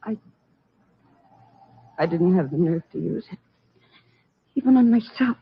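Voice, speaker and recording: An actress playing a frightened, distraught young nightclub singer in a halting, pleading 1940s radio drama style. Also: female voice, fairly low pitch, lively intonation, slightly rough, exaggerated voice. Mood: anguished fear. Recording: muffled vintage 1940s radio recording.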